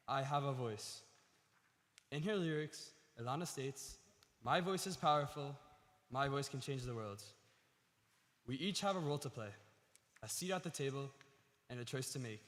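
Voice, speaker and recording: A man's voice: mid-range voice, lively intonation, normal speed, pauses often, a bit bright. Guy reading his speech at a service, earnest, lots of sanctuary reverb.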